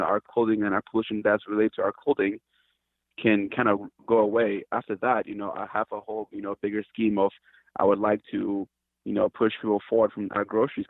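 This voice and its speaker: A man's voice: lowish voice, dry recording. A man from Cameroon, a company founder, talking earnestly and hesitantly in an interview, often restarting his sentences. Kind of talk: casual interview talk. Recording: tinny dry phone line.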